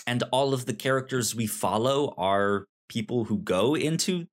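A man with an average-pitched voice chats casually as a podcast co-host. He speaks somewhat hesitantly, repeating word beginnings as he thinks. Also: normal speed. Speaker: podcast co-host reviewing manga. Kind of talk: casual chat.

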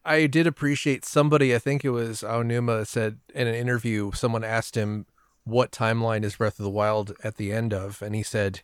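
A man's voice: medium pitch, level tone, a little fast, few pauses, clear, resonant tone, dry recording, clean studio sound. A man speaking as a podcast guest in a casual, conversational style. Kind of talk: casual chat.

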